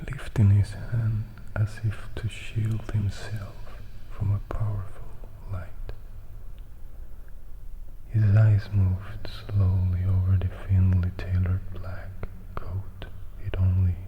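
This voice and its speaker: A man narrating a story as ASMR, reading softly and deliberately, phrase by phrase, in a whisper. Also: sits low, completely flat delivery, dull audio, slight background noise.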